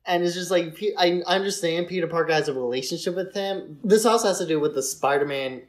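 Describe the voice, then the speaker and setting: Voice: medium pitch, a little fast. A podcast co-host in a casual film discussion, conversational and often chuckling.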